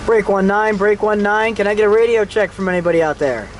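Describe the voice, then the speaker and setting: A man's voice: sits high, fairly quick, slight background noise. A man casually narrating a hands-on car tutorial in an informal, self-deprecating way, with some mild salty slang. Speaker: host of a DIY car how-to video. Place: in and around a vehicle while working on it.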